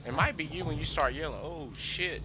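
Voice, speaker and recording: A man's voice: fairly high pitch, brisk, music in the background. A man in casual gaming banter with teammates, slangy and teasing, with some swearing. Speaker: online shooter player chatting with teammates. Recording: very noisy game voice chat with gunfire.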